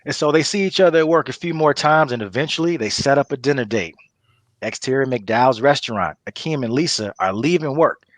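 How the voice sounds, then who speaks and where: A man's voice: mid-range voice, a little fast, no room sound. A man narrating stage directions for a comedy table read in a casual storytelling manner.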